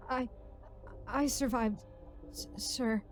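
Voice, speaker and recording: Lady doing a shaky stammering lieutenant guy, scared and quiet, high voice, space story. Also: slow pace, soft background music. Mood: shell-shocked. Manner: stammering, subdued. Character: shell-shocked, faltering male lieutenant, frightened survivor.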